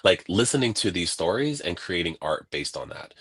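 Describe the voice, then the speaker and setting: A man from California, a guest on a video-call interview, telling stories in a casual, animated way and acting out what people said. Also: low-pitched, normal speed, slightly rough. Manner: animated, laughing, acts out quoted voices.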